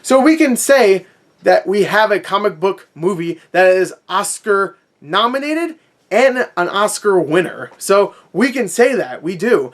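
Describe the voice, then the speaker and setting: A man's voice: high-pitched, expressive, unhurried. A man giving a casual, opinionated rant in a sarcastic, sweary, conversational way. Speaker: movie review vlogger.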